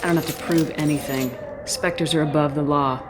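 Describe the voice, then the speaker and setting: Game role: low-voiced female commander played by an actress, blunt, terse, in control; clear background noise. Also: steady tone, normal speed, natural voice. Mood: stern, commanding. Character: hard-nosed commanding female military commander, impatient, in control. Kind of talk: video game voice acting.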